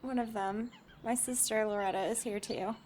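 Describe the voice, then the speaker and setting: An actress playing a polite, soft-spoken bereaved daughter in an audio drama. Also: female voice, on the high side, fairly even intonation, normal speed, a little hiss or hum, lightly acted. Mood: subdued. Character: subdued, courteous bereaved daughter.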